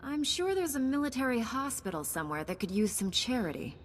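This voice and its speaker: A woman voicing an earnest, resolute Jedi Knight hero in a video game, with a measured, firm way of talking. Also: fairly high pitch, very animated, a little hiss or hum. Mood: firm, questioning. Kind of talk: video game cutscene acting. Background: game music.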